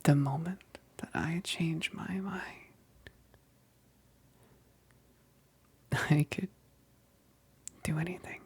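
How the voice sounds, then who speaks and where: A woman playing a female vampire best friend, tender yet menacing, in an intimate ASMR roleplay, whispering breathily and haltingly. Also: low-pitched, slightly rough, no room sound, full character voice. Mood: quietly threatening. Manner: slow, halting, intimate, with sighs.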